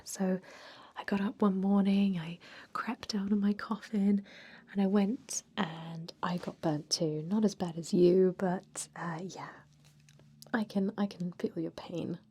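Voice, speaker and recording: A friendly female vampire, played by a woman, conducts a job interview as an ASMR roleplay. She speaks in a soft, breathy whisper with a dark, mellow tone. Her manner is gentle, chatty and encouraging, like that of an ageless immortal putting a recruit at ease. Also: medium pitch, fairly even intonation, takes it slowly. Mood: sympathetic, confiding.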